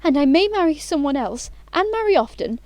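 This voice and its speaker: Lady acting a posh young society girl, high swooping voice, grand and bossy, very romantic, close dry sound. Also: very animated, fairly quick, darkish tone, no echo. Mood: grandly romantic. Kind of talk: theatrical comedy of manners audio play.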